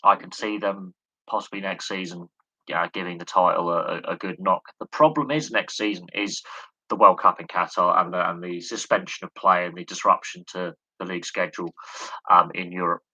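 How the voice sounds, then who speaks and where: A man with a low, very bright and sharp voice talks sports on a live stream. He is going hoarse and coughs now and then. He rambles, stumbling over repeated words between "um"s. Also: steady tone, fairly quick, low-quality recording. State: losing his voice, coughing. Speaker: sports commentator answering questions.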